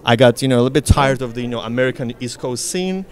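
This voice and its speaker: A man's voice: medium pitch, fast talker. Russian-accented young man talking business. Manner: talkative, fills speech with "you know". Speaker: interviewee, real estate broker. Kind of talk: casual interview.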